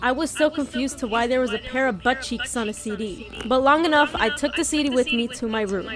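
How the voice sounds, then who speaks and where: A young woman telling a comedic story from her childhood in a crude, joking, animated way. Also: high-pitched, brisk. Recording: background music.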